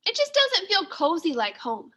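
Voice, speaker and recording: Woman voicing a timid, high-pitched storybook mouse boy. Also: wildly swooping pitch, moderate pace, pushed and bright, exaggerated voice. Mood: dissatisfied. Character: timid, thoughtful male library mouse who craves coziness.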